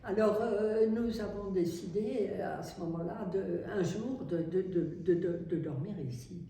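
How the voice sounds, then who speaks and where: Older French nun, halting, echoey distant. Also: female voice, mid-range voice, gravelly, warm tone. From France. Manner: halting, wry, many restarts. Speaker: Carmelite nun. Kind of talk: interview testimony. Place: nursery building in Syria. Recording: echoey room, distant mic, faint noise.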